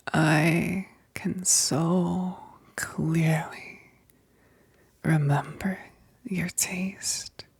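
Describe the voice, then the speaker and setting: A woman playing a hungry, seductive vampire best friend in an intimate ASMR roleplay, mostly whispering in a slow, teasing way, close to the mic. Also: deep voice, gravelly, a little mellow, husky, no room sound, heavily acted. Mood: hungry, reminiscing. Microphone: close, dry microphone.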